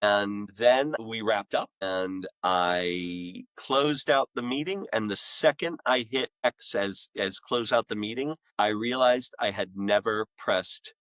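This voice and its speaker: A man's voice: fairly low pitch, takes it slowly, hardly any pauses, a bit bright, no echo, rough recording. A middle-aged man, a podcast guest and author, telling casual anecdotes in an enthusiastic, rambling way.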